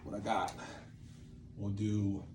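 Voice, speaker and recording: Guy with a lowish voice teaching casually, rambles and cuts himself off, some room noise behind him. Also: monotone, slow. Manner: rambling, self-interrupting, musing aloud. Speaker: creative methods teacher and playwright. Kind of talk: informal teaching at a whiteboard, thinking aloud.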